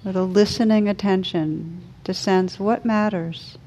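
A woman leading a guided meditation as a teacher, speaking slowly and soothingly with gentle pauses. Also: mid-range voice, steady tone, highs cut off.

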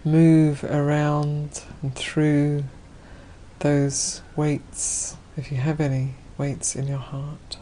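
Slow, low-voiced woman guiding meditation. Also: monotone, slight background noise. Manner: gentle, unhurried, spacious phrasing. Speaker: Buddhist nun leading a guided meditation. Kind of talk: guided meditation.

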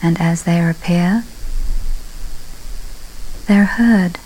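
Meditation guide, female: dark relaxed voice, very slow delivery, calm, softly breathy at phrase ends. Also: on the low side, a little hiss or hum, poor audio. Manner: calm, unhurried, long pauses between phrases. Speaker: meditation teacher.

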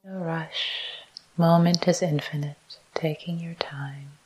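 Casting: female meditation teacher, low register, very slow and soft, breathy near-whisper, calm repeated phrases. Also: low-quality recording. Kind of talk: guided meditation. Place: meditation retreat talk.

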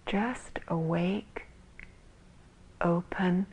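A woman teaching meditation in a soft, breathy, husky voice, calmly and gently guiding with long pauses between phrases. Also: lowish voice, low-quality recording. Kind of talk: guided meditation.

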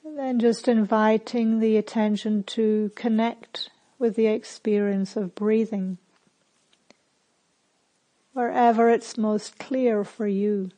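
A woman leads a guided meditation in a soft-edged, mellow voice that sits a little high. She speaks very slowly and gently, giving simple instructions and leaving pauses between them. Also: fairly even intonation, rough recording. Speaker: meditation teacher.

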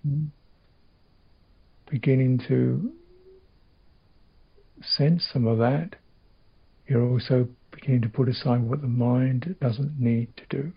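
Gravelly man guiding a meditation. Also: mid-range voice, fairly even intonation, lo-fi sound. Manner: halting, reflective, short phrases with long pauses. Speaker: meditation teacher. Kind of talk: guided meditation.

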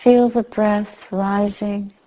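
Slow-speaking woman guiding a meditation, slightly muffled. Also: mid-range voice, flat delivery. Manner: measured, soothing, with long pauses. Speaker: meditation teacher. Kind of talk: guided meditation instruction. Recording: slightly dull, muffled recording.

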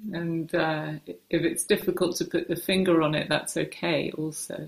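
A woman meditation teacher giving gentle guided instruction in short, unhurried phrases with hesitant pauses, recorded in a roomy, echoing space. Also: deep voice, little pitch movement, vocal fry.

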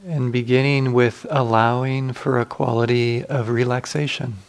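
A man leading a guided meditation as a teacher, giving gentle, unhurried instructions with long pauses. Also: medium pitch, level tone, vocal fry.

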